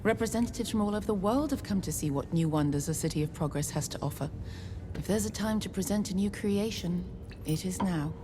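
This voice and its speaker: An actress playing a poised, ambitious noblewoman councillor in an animated fantasy drama, speaking in a measured, formal, silky and calculating way. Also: medium pitch, background noise, natural voice. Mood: persuasive. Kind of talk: animated drama series acting. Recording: clips with music behind the voice.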